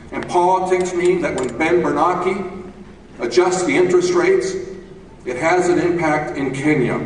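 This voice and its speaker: Echoey older man giving a formal speech. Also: on the high side. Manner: deliberate, emphatic oratory with short measured phrases. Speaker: commencement speaker. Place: large auditorium stage. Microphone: podium gooseneck microphone, heard through the hall. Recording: very echoey hall, distant mic, faint noise.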